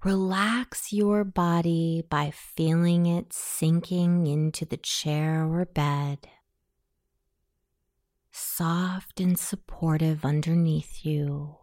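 A woman guiding a hypnosis meditation in a soothing, measured way, with long pauses between phrases. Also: low voice, steady tone, darkish tone, no echo, studio quality. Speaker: hypnosis session guide. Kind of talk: guided meditation, self-hypnosis narration.

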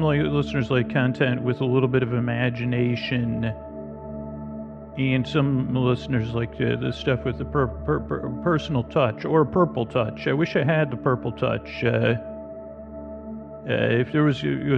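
Man, creaky fry, medium pitch, meandering halting podcast monologue, dull recording, prominent music bed. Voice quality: gravelly, creaky. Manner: meandering, halting, repeats and trails off. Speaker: podcast host. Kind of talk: rambling sleep podcast monologue. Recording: dull muffled sound under clear music.

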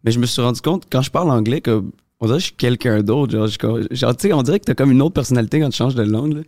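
A man's voice: medium pitch, fast talker, a little mellow, no room sound, clean studio sound. A young Québécois man, a comedian guest, chatting casually with laid-back slang and lots of fillers. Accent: Québécois French. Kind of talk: casual chat.

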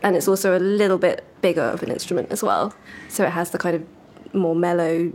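A woman violinist in a casual interview who explains things in a measured, thoughtful way. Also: lowish voice, darkish tone, slight background noise.

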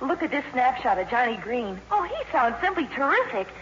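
An actress playing an excitable, gushing teenage daughter in a 1950s radio drama. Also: female voice, high-pitched, fairly quick. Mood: gushing excitement. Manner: breathless, gushing exclamations. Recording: muffled, noisy 1950s radio broadcast.

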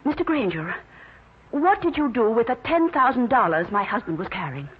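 A woman's voice: on the high side, sing-song, moderate pace, slightly rough. An actress playing a poised, earnest wife in her forties who speaks with formal politeness in an old-time radio drama. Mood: earnest, urgent. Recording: tinny, faintly noisy vintage radio recording.

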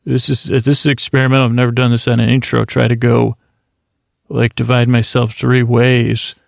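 Rambly podcast guy, gravelly flat voice, stammers a lot, dry close mic. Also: medium pitch, normal speed, dry recording. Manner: rambling, full of restarts and stammers. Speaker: podcast host. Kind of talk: rambling comedic intro monologue.

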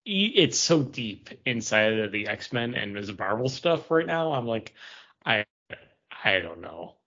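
A man with a lowish voice gives casual opinions as a podcast co-host. He speaks conversationally and off the cuff. The recording sounds a bit dull, with limited clarity. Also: sing-song, a little slow, bright, forceful tone.

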